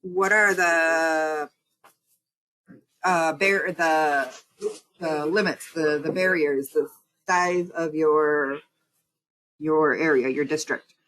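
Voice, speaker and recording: A woman interviewing a local official in a friendly way, often checking facts with a quick "right?". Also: sits low, steady tone, a bit bright, rough recording. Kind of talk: community radio interview.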